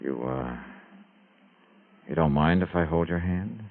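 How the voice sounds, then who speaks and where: An actor playing a shrewd, easygoing American insurance investigator in a golden age radio drama, talking in a clipped, wry way. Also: male voice, very deep voice, a little slow, exaggerated voice. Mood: bashful. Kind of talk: golden age American radio drama acting, first-person detective narration. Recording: dull vintage radio recording, music behind.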